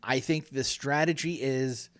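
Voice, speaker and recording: Regular guy cohosting a podcast, middle pitch, relaxed casual banter, kinda measured. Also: clear, resonant tone. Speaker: podcast co-host.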